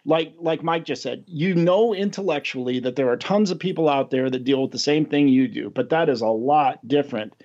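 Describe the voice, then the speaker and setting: A man's voice: fairly high pitch, a little fast, hardly any pauses, darkish tone. A middle-aged American man hosting a casual podcast chat, rambling and chuckling, a little self-deprecating. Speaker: podcast host. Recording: dull lo-fi audio.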